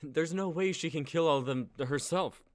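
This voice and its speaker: A man's voice: a little high, normal speed, darkish tone, natural voice. A young man playing an earnest, anxious novice male spellcaster in an amateur script reading. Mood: worried. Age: young adult.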